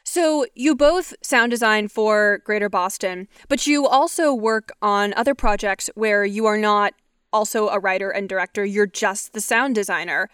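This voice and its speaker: A woman's voice: mid-range voice, normal speed, a bit bright, clear, resonant tone, no room sound, clean studio sound. A woman hosting a podcast, asking articulate, curious questions about sound design. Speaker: podcast host interviewing guests. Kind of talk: interview questions.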